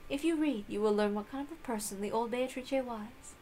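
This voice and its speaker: Woman voicing a quiet, aloof lady witch. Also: fairly high pitch, a little fast, slight background noise, lightly acted. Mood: aloof, calm.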